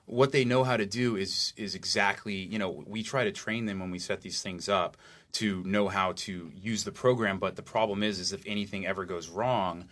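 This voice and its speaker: A man giving informal interview answers as an audio engineer, haltingly thinking aloud as he explains. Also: sits low, steady tone, a bit bright.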